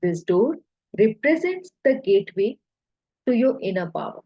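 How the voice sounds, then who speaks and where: A woman leading a guided hypnosis relaxation in a soothing, deliberate way, pausing between short phrases, with a husky, breathy voice. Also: a little high, very animated, mellow, no echo, muffled recording.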